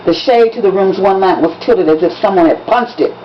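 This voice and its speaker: A woman, an author reading from a novel in an expressive, dramatic audiobook style with emphatic storytelling. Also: medium pitch, a little fast. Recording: dull lo-fi recording with background noise.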